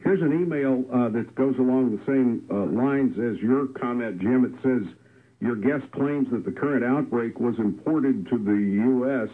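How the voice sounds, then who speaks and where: Male radio host, 60s, husky and friendly, tinny broadcast audio. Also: mid-range voice, normal speed. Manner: friendly, conversational. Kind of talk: hosting a call-in radio show. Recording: lo-fi radio broadcast with faint music.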